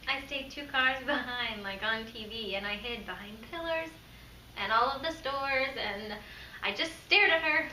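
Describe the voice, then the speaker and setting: A woman performing a monologue as a jilted, obsessive young woman confessing uneasily and haltingly, in a roomy recording. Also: a little high, sharp tone. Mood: fixated. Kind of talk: theatrical audition monologue. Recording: roomy, distant mic, faint noise.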